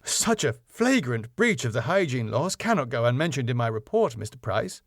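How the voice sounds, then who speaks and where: A man's voice: mid-range voice, sing-song, normal speed, no echo, clean studio sound. A man voicing a stern female council inspector in a sitcom, formal, clipped and pompous. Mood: disgusted. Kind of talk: sitcom script read aloud.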